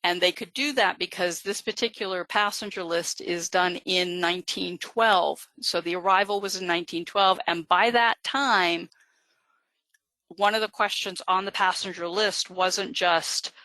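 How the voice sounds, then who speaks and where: Female webinar presenter, lower-pitched and bright, dry studio sound, patient instructive delivery. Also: steady tone, a little slow, no room sound, rough recording. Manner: explanatory, many fillers. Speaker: genealogist presenter. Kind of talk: live webinar lecture.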